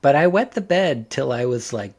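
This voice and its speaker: Thoughtful man with a mid-pitched voice. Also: lively intonation, moderate pace, darkish tone, husky. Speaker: podcast panelist. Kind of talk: casual reflective chat.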